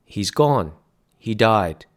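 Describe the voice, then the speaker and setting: Man playing a bored young American ticket girl, slow drawl, deadpan, storybook reading. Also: lowish voice.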